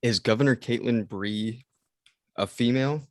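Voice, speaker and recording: A man's voice: medium pitch, moderate pace, dry recording. A man chatting casually as a cast member at a table read. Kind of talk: casual chat.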